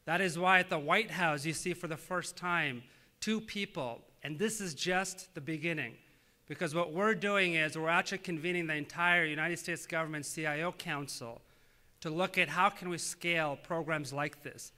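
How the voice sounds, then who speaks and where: A man in his thirties from Tanzania, a native Swahili speaker, giving an earnest, measured public speech with frequent "uh" fillers. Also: on the high side, bright, forceful tone. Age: 30s. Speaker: government official giving a speech.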